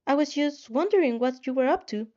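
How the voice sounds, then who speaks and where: A woman's voice: high voice, expressive, a little fast, darkish tone, highs cut off, pitched above the performer's own voice. A woman voicing a polite, eager teenage boy in a story, tentative but upbeat. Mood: shy, friendly.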